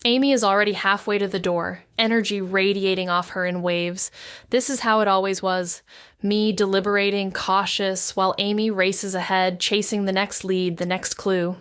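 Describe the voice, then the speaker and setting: A woman's voice: mid-range voice, steady tone, moderate pace, a bit bright, clear, resonant tone, no echo, clean studio sound, lightly acted. An actress playing a cautious, wry young amateur detective who narrates her own story in first person in a noir audio drama. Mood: reflective. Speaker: narrator and lead character.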